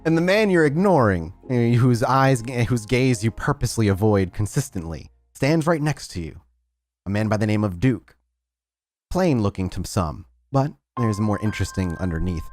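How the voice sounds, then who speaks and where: Low, dramatically swooping male storyteller. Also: expressive, normal speed, a little mellow, faint music behind. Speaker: storyteller, game master. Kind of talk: dramatic narration of a tabletop horror game.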